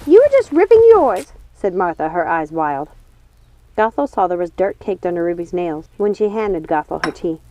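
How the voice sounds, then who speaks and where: A woman reading a story aloud as an audiobook host, in an expressive narration style. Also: lowish voice, normal speed, slight background noise, rough recording. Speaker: audiobook reader and channel host. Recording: music behind the voice. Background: background music.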